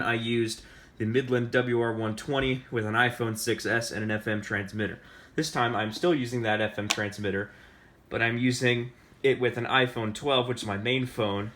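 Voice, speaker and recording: American man giving a halting casual tutorial. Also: medium pitch, fairly bright tone. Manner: halting, repeats and restarts phrases. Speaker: tutorial host. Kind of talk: casual hands-on how-to demonstration. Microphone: phone camera recording the demonstration.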